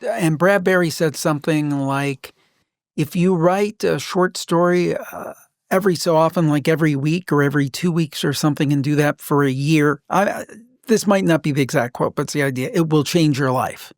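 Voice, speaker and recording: A man with a slightly high voice, recorded very dry. He hosts a casual podcast interview, wandering through his thoughts and rephrasing himself as he asks questions. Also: normal speed, no echo. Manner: rambling, self-correcting questions.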